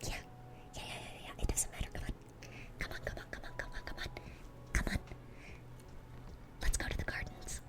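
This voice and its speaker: A woman's voice: fairly quick, long pauses, natural voice. Woman playing a whispering, dorky demon girl. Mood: excited, gentle. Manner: rambling, repeats words, easily sidetracked. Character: dorky, eager, sweet-natured young female demon. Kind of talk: ASMR roleplay, whispered.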